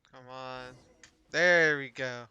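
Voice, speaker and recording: A man's voice: mid-range voice, slow pace, bright, forceful tone, smooth, no echo, muffled recording. A man making short, casual remarks while streaming a video game. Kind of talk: brief casual reactions to a game.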